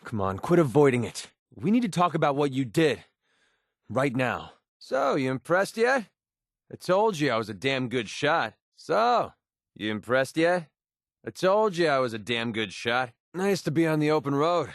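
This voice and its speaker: Wry young American mercenary, close and dry. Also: male voice, medium pitch, sing-song, moderate pace, dry recording. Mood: confrontational, then friendly, cocky. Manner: sarcastic, casual, streetwise. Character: young cynical mercenary sniper, wry and guarded. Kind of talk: video game character dialogue.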